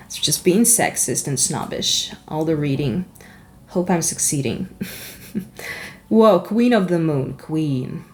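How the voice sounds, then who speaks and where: A Ukrainian-accented woman whose first language is Russian, chatting her way through a tarot reading. Her voice sits a little low, and she rambles freely and giggles often. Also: takes it slowly. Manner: animated and giggly, rambling, candid. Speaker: tarot reader. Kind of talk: chatty spiritual card reading.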